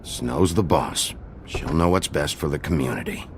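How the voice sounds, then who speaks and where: An actor playing a tough, wounded, stoic sheriff in a video game, gruff, guarded and terse. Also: male voice, a bass voice, normal speed, a little hiss or hum. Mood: stoic, reassuring.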